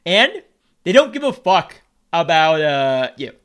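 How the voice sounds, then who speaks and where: A man's voice: on the high side, big pitch swings, slow pace, a bit bright, clean tone. A young American man chatting casually on a stream, brash and joking, crude and provocative. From United States. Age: young adult.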